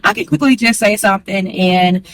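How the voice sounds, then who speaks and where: Woman vlogger, strong voice, quick candid delivery, mid-pitched, dry room. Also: very animated, creaky, no echo. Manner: chatty, candid, calls listener girl; fast talker. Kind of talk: casual talk to camera.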